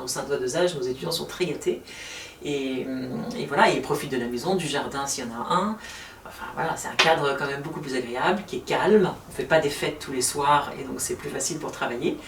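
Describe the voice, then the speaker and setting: A woman answering interview questions about her housing association, talking fast with many hesitations. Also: low voice, a little hiss or hum. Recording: roomy sound from far off.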